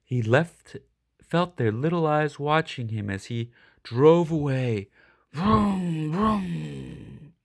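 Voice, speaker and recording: A man reading a children's picture book aloud expressively, leaning on key words with deliberate, dramatic emphasis. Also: on the high side, lively intonation, vocal fry. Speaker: storybook reader.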